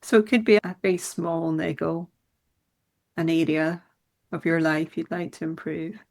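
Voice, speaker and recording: A woman leading a guided meditation in a soothing, unhurried manner with gentle instructions. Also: low-pitched, fairly even intonation, vocal fry.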